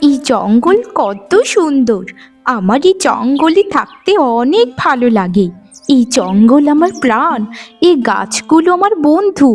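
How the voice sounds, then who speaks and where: A woman playing a kind young forest maiden in a cartoon fairy tale, with a tender, expressive way of talking. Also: sits high, full character voice.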